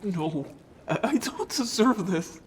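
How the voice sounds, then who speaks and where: A man's voice: high voice, expressive, slow, a little hiss or hum. A man playing a guilt-ridden, anxious young merman in a fantasy audio drama, speaking hesitantly and emotionally. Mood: guilty, distressed. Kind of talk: scripted audio drama acting.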